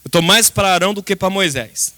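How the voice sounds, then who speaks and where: A Brazilian man preaches an impassioned sermon to his congregation. His voice sits extremely high for a man and is very bright and tense. He speaks emphatically and dramatically, repeating phrases and addressing his listeners directly. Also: a little fast. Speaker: pastor preaching. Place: church service.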